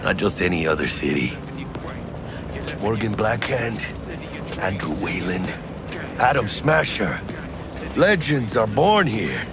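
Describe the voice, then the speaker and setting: Deep, animated Mexican-American street mercenary. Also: male voice, slow pace, pauses often, background noise, full character voice. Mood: brash, chatty. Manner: slangy, peppering speech with Spanish. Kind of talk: cinematic video game acting. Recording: loud music behind the voice.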